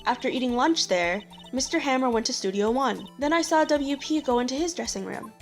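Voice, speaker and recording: A woman plays an earnest young female props assistant from a video game. She has a high voice and a polite, slightly hesitant way of explaining things, with faint music behind her. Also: animated, fairly quick. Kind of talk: fan-dub game character acting. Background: background music.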